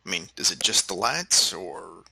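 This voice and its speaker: A man improvises casually as a player in a tabletop game. His slightly low voice is very sharp-edged and husky, and he phrases things hesitantly. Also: expressive, moderate pace, low-quality recording. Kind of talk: casual improvised role-play.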